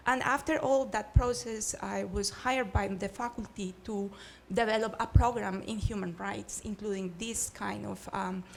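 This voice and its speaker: A woman's voice: mid-range voice, steady tone, normal speed, vocal fry, hardly any pauses. A middle-aged woman from Colombia with a Colombian Spanish accent, speaking earnestly on a panel with frequent hesitations. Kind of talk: panel discussion. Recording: faint background noise.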